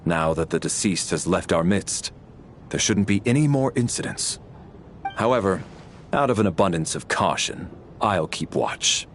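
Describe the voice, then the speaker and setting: Game role: reserved, protective male fighter, lowish register, expressive intonation. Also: normal speed, a little hiss or hum. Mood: calm, firm. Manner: reserved, formal. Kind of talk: video game dialogue acting.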